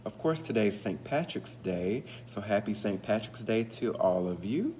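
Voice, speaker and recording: A man reading a storybook aloud as an educator, pausing to put guiding questions to young listeners. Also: fairly low pitch, expressive, darkish tone, a little hiss or hum.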